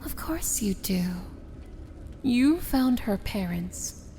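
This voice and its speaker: Casting: female actor as proud, tender villain princess for ASMR fantasy roleplay; unhurried, theatrical, intimate delivery. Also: fairly high pitch, animated, slight background noise. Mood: tender, knowing. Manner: theatrical, deliberate, often dropping to a hushed whisper. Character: proud, tender princess of evil, wry and regal.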